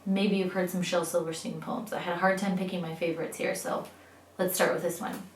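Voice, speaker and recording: A woman teacher reading poems aloud to children, with expressive, clearly phrased delivery that addresses young listeners directly. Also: on the low side, brisk. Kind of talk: read-aloud of children's poetry. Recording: roomy echo, distant microphone.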